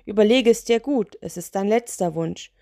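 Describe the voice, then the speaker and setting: A woman's voice: on the high side, lively intonation, moderate pace, a little mellow, no room sound, studio quality, voice pushed up. A woman voicing a gigantic, grumpy male lantern genie for a children's story, huffy and sarcastic. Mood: annoyed.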